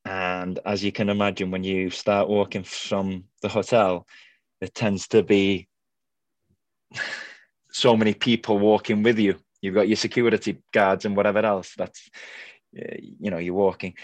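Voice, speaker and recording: A man's voice: on the low side, flat delivery, measured pace, no echo. A Welsh man whose first language is Welsh, telling chatty, laughing stories as a footballer guest on a video call. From North Wales. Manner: chatty, laughs often, many fillers. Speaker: goalkeeper guest. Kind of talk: casual storytelling interview.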